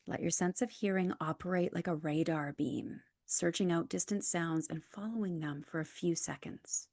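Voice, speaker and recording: A woman leading a guided relaxation as a yoga nidra instructor, calm and measured, with soft, breathy phrase endings. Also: lowish voice, dry recording, clean studio sound. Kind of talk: guided meditation, scripted instructions.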